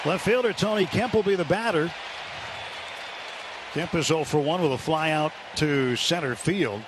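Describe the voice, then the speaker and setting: A man's voice: a little high, normal speed. An American man calling live baseball play-by-play for a radio broadcast. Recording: radio broadcast over clear stadium noise. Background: ballpark ambience and crowd noise.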